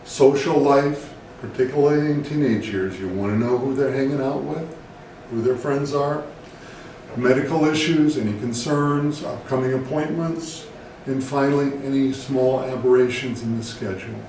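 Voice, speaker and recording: A man with an American accent, a therapist presenting scripted educational advice in a measured, deliberate way. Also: medium pitch, a little mellow. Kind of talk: scripted educational talk to camera. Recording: very echoey room, distant mic, faint noise.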